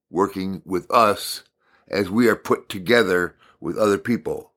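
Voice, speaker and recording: Calm man with a slightly raspy voice. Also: lowish voice. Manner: calm, unhurried, with thoughtful pauses. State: slightly raspy from talking a lot. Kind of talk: reflective devotional teaching.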